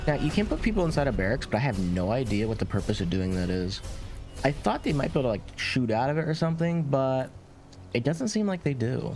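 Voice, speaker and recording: A man gives casual gameplay commentary at a medium pitch with lively, varied intonation. He explains things informally as the video's host. Game music plays clearly behind him. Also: fast talker.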